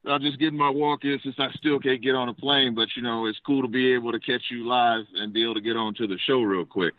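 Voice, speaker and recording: A man calling in by phone while out on a walk outdoors, talking quickly in a casual, analytical way. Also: mid-range voice, steady tone, no room sound. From United States. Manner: fast, conversational, analytical. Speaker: caller. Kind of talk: phone call-in. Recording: tinny dry phone line.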